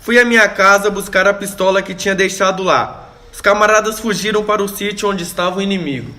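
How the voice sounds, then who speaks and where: A man's voice: high voice, steady tone, brisk, pushed and bright. A man playing an exhausted, alarmed guerrilla fighter reporting in short phrases in an amateur radio drama. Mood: shaken, reporting. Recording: echoey room with faint noise.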